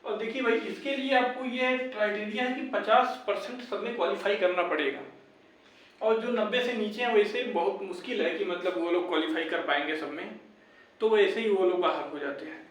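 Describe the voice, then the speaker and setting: High-pitched young man in a very echoey room. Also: a bit bright. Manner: insistent, repetitive, addresses viewers as brothers. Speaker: YouTube exam-update presenter. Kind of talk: informal advice and rallying talk to viewers. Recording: very echoey room, distant microphone.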